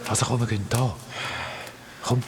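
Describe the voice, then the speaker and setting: A man's voice: medium pitch, fairly even intonation, normal speed, noisy background, natural voice. A man playing an anxious, hesitant boy adventurer with a Swiss German accent in a children's audio drama. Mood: anxious, unsure. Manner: hesitant, repeats himself, questioning.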